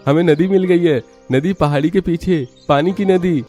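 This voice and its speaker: Man putting on excitable, high-pitched poor villager. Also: normal speed, soft background music, close to an everyday voice. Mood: overjoyed. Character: excitable, earnest poor village brothers, hopeful and simple. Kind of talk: Hindi cartoon story acting.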